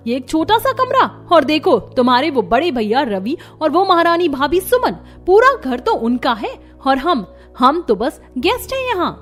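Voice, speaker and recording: A woman voicing a haughty, greedy young daughter-in-law in a cartoon drama, sharp and bossy, over music. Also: sits high, sing-song, normal speed, hardly any pauses. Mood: fed up. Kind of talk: Hindi cartoon story dub.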